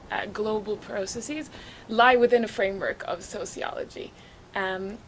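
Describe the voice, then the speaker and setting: A woman exchange student from Norway introducing herself in a measured, thoughtful way. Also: mid-range voice, slight background noise, rough recording. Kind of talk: prepared self-introduction.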